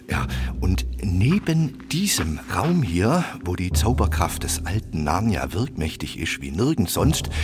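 A man's voice: deep voice, heavily acted. An actor playing a learned old scholar, cautious and hesitant as he advises a prince in a radio play. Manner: hesitant, deliberate, explanatory.

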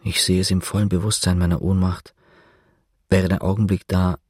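Radio drama prisoner: low register, introspective and bitter, volatile temper, denies his identity. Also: little pitch movement, breathy edge, no echo, clean studio sound. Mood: brooding, confessional. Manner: measured, reflective narration breaking into furious shouting. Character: prisoner denying his identity, brooding, bitter, given to outbursts. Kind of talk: German radio play, first-person narration and acted dialogue.

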